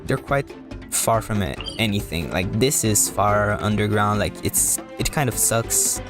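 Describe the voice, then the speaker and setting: A man giving casual, halting and rambling live commentary as a video game streamer, often repeating words. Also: lowish voice, lively intonation, over music.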